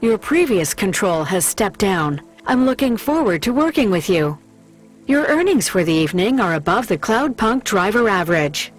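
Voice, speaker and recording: A woman's voice: mid-range voice, very animated, normal speed, faint music behind. An actress playing a businesslike female dispatcher in a video game, formal and courteous. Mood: polite, businesslike. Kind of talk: scripted video game acting.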